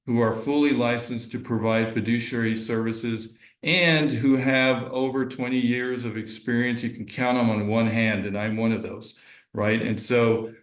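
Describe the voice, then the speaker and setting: An earnest, middle-aged man speaks as a guest advisor on a video call. His voice is mid-pitched, and he talks haltingly, often backing up to restart a phrase. The room around him sounds noticeably echoey. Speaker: financial advisor. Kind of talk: guest speaker in online training. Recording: roomy echo on call audio.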